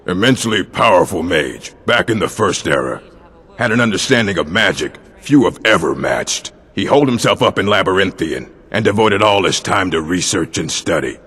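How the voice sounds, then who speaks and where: An actor playing a gruff older male orc librarian in a video game, dry, weary and curt, with a gravelly, husky voice. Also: low voice, animated, normal speed, a bit bright, exaggerated voice. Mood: matter-of-fact. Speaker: game character. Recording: long hall reverb.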